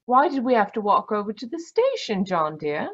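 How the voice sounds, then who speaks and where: A woman's voice: mid-range voice, moderate pace. A woman playing a genteel, affectionate and practical doctor's wife in a radio mystery, heard over a dull video-call line. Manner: genteel, expressive, wifely. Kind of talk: old-time radio mystery acting.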